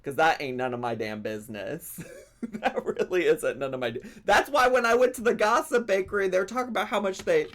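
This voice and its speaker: A man's voice: high-pitched, a little fast, a bit bright. A man hosting a gossip commentary show, chatty and sassy, laughing between his remarks. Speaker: commentary channel host. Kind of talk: gossip commentary reacting to clips.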